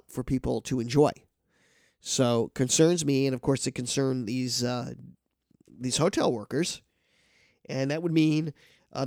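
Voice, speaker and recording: A man's voice: mid-range voice, darkish tone, dry recording, studio quality. A man with a nasal, congested voice from a cold, hosting a casual news talk show and rambling with many restarts. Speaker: podcast host.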